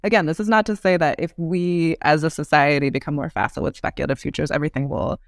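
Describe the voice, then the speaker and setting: Podcast guest: woman, thirties, noticeable vocal fry, articulate interview explanations, close dry sound. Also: deep voice, steady tone, fast, dry recording. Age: 30s.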